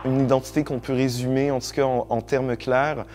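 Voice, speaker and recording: A man's voice: medium pitch, flat delivery, moderate pace, background noise. A man born in Senegal, a musician, speaking hesitantly in a documentary interview. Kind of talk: hesitant testimony.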